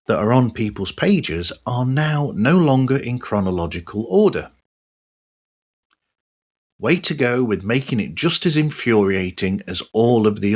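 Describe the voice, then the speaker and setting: A man's voice: lowish voice, breathy edge. A man hosting a podcast monologue in a personal style, dropping wry, sardonic asides as he goes. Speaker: podcast host.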